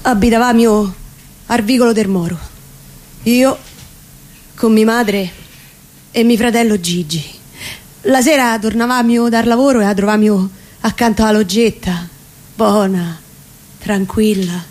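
A woman with a Roman accent playing a distraught working-class man confessing in dramatic dialect verse, pleading and halting. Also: on the high side, darkish tone, natural voice. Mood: sombre confession. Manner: pleading, halting, emotive delivery. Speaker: reciting actress. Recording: live radio performance with background noise.

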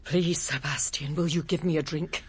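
An actress playing a direct, protective, sharp-tongued English woman in a radio drama. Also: low voice, steady tone, normal speed, background noise, lightly acted. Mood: bitter.

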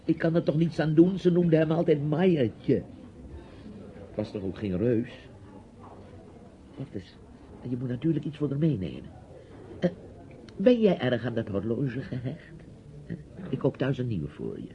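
A man's voice: mid-range voice, sing-song, a little slow, long pauses. An actor playing a chatty, nosy, bossy older man in a radio drama, with a theatrical, rambling delivery. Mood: scheming, curious. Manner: theatrical, rambling, commanding, with hesitations. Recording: dull, hissy old radio play recording.

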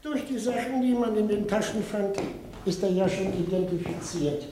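An actor playing a matter-of-fact, officious morgue official in a German radio play. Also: male voice, high-pitched, moderate pace, roomy sound, background noise. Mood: businesslike.

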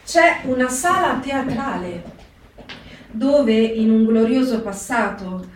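A woman's voice: fairly high pitch, expressive, slow pace. An actress playing a reflective woman writing letters from a wartime camp, in a dramatic literary reading. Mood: grave, wry. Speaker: stage actress. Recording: very echoey, distant, music behind. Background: guitar music.

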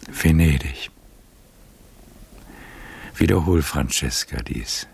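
An actor playing an old, playful and tender Venetian adventurer in a poetic radio play, husky and theatrical in his delivery. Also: very deep voice, a little mellow, exaggerated voice. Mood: dreamy, teasing. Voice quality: gravelly, husky. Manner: theatrical, savoring, drawn-out delivery.

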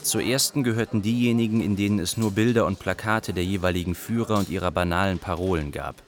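A man's voice: low voice, fast talker, close to an everyday voice. A man playing a young Iraqi refugee, narrating his flight in a literary radio play. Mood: narrative.

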